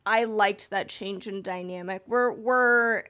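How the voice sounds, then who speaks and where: A woman's voice: medium pitch, fairly even intonation, takes it slowly, pushed and bright. A woman podcast co-host chatting casually in a playful, informal way about something she follows as a fan.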